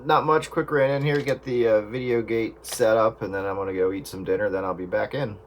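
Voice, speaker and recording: A man's voice: on the low side, fairly even intonation, brisk. Man on very noisy two-way radio. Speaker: amateur radio operator. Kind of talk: casual two-way radio chat. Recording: very noisy radio with static and clicks.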